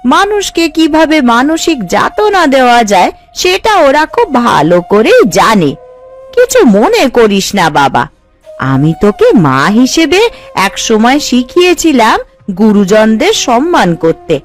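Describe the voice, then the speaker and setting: A woman playing a gentle, protective middle-aged mother in a cartoon, consoling and advising. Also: high voice, big pitch swings, measured pace. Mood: concerned, consoling. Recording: light background music.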